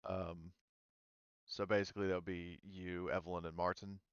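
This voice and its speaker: Low, smooth-voiced male game master, dull audio. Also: level tone, moderate pace, no room sound. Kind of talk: running an online tabletop role-playing game, casual. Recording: dull, slightly muffled audio.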